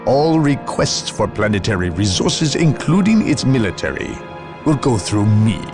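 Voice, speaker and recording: Low-voiced haughty inquisitor. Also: male voice, sing-song, moderate pace. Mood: imperious, severe. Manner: formal, imperious. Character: haughty, severe inquisitor asserting his authority. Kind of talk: game cutscene acting. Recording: loud music behind.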